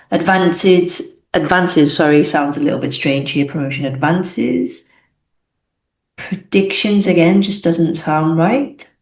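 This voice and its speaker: A low-pitched young woman from the UK, working through an English exam aloud like a teacher. She explains each choice as she goes and sometimes corrects herself. The recording has a noticeably echoey room sound. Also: darkish tone. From United Kingdom. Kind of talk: think-aloud exam walkthrough.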